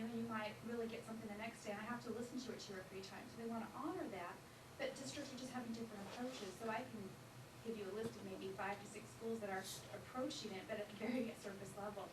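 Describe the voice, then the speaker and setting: A woman giving an informal answer as a participant in a seminar. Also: on the high side. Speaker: seminar participant working with school districts. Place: seminar room, off camera. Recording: echoey room, distant mic, clear noise.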